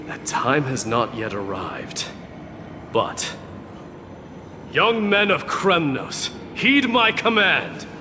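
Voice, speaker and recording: An actor playing a stern, proud young warrior crown prince in a video game, speaking in a formal, measured, commanding way. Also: male voice, on the high side, huge pitch swings, background noise, exaggerated voice. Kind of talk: scripted video game dialogue. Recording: music under the voice.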